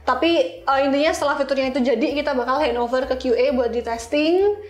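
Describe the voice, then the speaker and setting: Young Indonesian woman, very high voice, casual storytelling, English terms mixed in, echoey room. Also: quick pace. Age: young adult. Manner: informal, mixes English terms into speech. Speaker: interview guest, software engineer.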